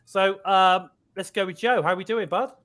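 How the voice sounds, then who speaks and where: A man's voice: sits high, fairly even intonation, a bit bright. A man hosting a live-stream talk show, chatty and upbeat, with a lingering cough. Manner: upbeat, chatty, drawn-out fillers. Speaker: podcast host. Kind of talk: casual live-stream chat.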